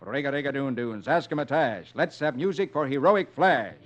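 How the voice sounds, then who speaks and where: An actor playing a jolly comics-reading friend to children, in a theatrical 1950s radio storytelling style. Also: male voice, medium pitch, moderate pace, background noise, lightly acted. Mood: dramatic storytelling. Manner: jolly, animated. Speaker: radio host and comics reader. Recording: lo-fi old radio, loud music behind.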